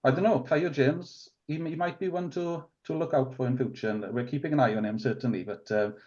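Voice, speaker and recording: A man in his forties with a Welsh accent, Welsh as his first language, talking in a relaxed, rambling way as a guest on a rugby podcast, full of restarts and fillers. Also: mid-range voice, fairly quick, muffled recording. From Wales. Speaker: rugby club committee member, guest. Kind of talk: casual podcast interview.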